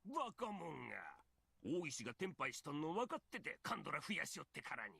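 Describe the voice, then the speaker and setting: A man playing a grumpy, scolding old man with a gravelly, raspy voice in anime game style. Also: on the high side, very animated, very fast, sharp tone, no room sound, heavily acted. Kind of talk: Japanese anime game acting.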